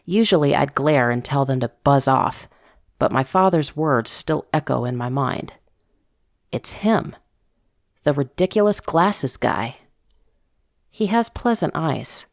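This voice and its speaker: A woman giving a measured, intimate first-person narration of a scripted drama, as if reading from a diary. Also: a bass voice, animated, dry recording, studio quality. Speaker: narrator of a fiction podcast story.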